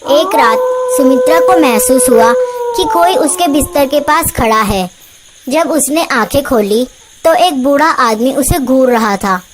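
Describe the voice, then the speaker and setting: A woman's voice: high voice, lively intonation, a little slow, very noisy. A woman reading scripted narration for a horror story, as the narrator.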